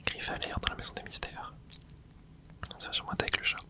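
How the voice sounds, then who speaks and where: A hushed, breathy whisper talks through a video game at an easy pace, tossing in little fillers along the way. The voice stays soft and unvoiced, sitting right up against the microphone. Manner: soft whisper, relaxed running commentary with quick fillers. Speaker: gaming ASMR host. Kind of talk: whispered gameplay commentary. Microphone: close microphone.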